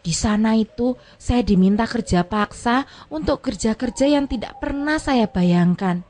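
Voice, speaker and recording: An actress playing a plain-spoken, wronged but feisty returned migrant worker, telling things in an emphatic, folksy way in a drama. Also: female voice, a little high, lively intonation, measured pace, a little mellow, slight background noise. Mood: emphatic, aggrieved. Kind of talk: scripted drama dialogue.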